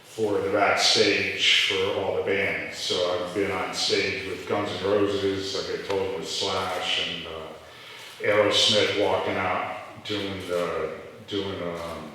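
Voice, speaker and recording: A man's voice: flat delivery, slow pace, pushed and bright. A middle-aged man, telling rambling stories with restarts during a live onstage interview. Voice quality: deep voice. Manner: rambling storytelling with restarts and laughs. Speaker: restaurant owner. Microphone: handheld mic that cut out, picked up from a distance. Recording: echoey hall from far off, faint noise.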